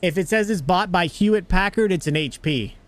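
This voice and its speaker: A young man with an American accent hosts a gaming livestream, chatting loosely with viewers and a friend. His voice sits a little on the high side. He talks in a relaxed, joking way into a desk mic. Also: brisk. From United States. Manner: chatty, greets viewers, jokey. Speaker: livestream host. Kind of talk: casual livestream chat while gaming.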